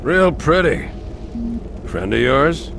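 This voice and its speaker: An actor playing a dry, commanding military captain with a measured manner in a video game cutscene. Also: male voice, fairly high pitch, big pitch swings, gravelly, background noise, heavily acted.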